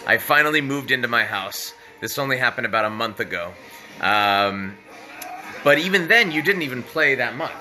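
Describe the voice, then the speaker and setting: A man's voice: mid-range voice, expressive, moderate pace, pushed and bright, faint music behind. A man hosting a casual livestream from his living room while a guest plays, upbeat, laughing often and asking questions. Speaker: streamer host.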